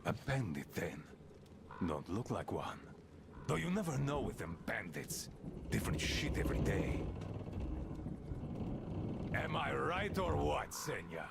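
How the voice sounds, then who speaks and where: A gravelly, mocking gang leader. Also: male voice, mid-range voice, big pitch swings, measured pace, pauses often, very noisy. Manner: mocking, domineering. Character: gruff paranoid older male gang leader. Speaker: the Admiral.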